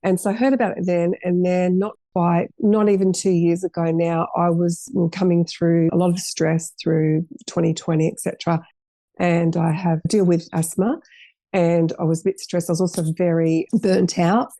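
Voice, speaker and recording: A woman's voice: on the low side, steady tone, normal speed, mellow, dry recording. A middle-aged woman, a podcast guest telling her story in an earnest, rambling way with many restarts. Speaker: guest, cafe owner. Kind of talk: casual interview chat.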